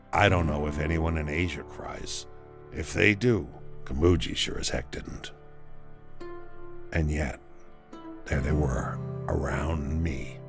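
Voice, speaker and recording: An actor playing a stoic, grief-numbed man narrating a memory in an audio drama, gravelly and somber, with halting phrasing. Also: a bass voice, heavily acted. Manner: slow, halting, somber delivery. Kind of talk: dramatic first-person audio drama monologue. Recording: music behind the voice.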